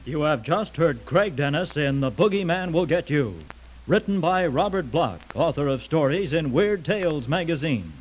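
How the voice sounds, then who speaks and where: A man's voice: fairly high pitch, lively intonation, moderate pace. A man reading a scripted closing announcement as the announcer of a radio drama. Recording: muffled old radio recording with music. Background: music.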